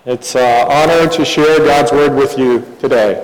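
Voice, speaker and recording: A middle-aged man from Taiwan who grew up overseas, preaching a sermon in short phrases with pauses for an interpreter. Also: mid-range voice, steady tone, slight background noise. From born in Taiwan, grew up overseas. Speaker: guest preacher. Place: large reverberant church hall. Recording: echoey hall with long reverb.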